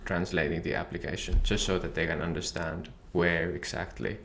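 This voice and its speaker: A man whose first language is Finnish, calmly and methodically walking through a software tutorial. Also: low-pitched, moderate pace, creaky. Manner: calm, methodical explaining. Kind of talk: screen-share software tutorial.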